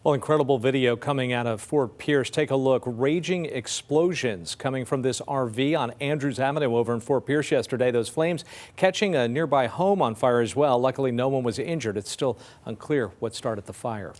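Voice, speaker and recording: A man's voice: medium pitch, moderate pace, a little mellow. A man working as a TV news anchor, reading stories and handing off in a studio.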